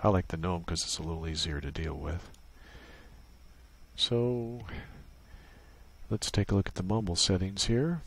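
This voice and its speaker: A man's voice: sits low, a little slow, long pauses. A man presenting an unscripted tutorial, explaining a setup casually, step by step. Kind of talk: casual unscripted software walkthrough.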